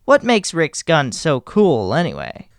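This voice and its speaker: Man playing sarcastic gloomy sidekick, higher-pitched, animated, theatrical, mischievous, comedy podcast. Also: a little slow, creaky, dry recording, studio quality, heavily acted. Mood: needling. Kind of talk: comic character banter on a podcast.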